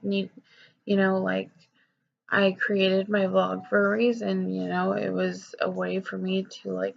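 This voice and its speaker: A woman's voice: mid-range voice, vocal fry, muffled recording. A young woman talking candidly in a personal vlog, rambling with lots of fillers and swearing, her voice nasal and stuffed up from a cold. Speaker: vlogger.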